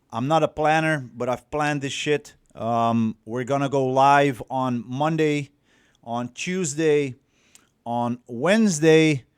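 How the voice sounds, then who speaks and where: A Dutch man with a clear Dutch accent coaches in a loose, rambling way, swearing casually and restarting his sentences. He talks at an unhurried pace in a smooth, resonant voice that sits a little above the usual male pitch. The recording is dry and close. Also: no room sound. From Netherlands. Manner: rambling, profane, many fillers and restarts. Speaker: dating coach.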